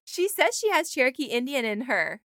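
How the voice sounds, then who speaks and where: A woman's voice: sits high, big pitch swings, brisk, fairly bright tone, no room sound, clean studio sound. An American woman co-hosting a podcast in casual, conversational chat. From United States. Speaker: podcast co-host.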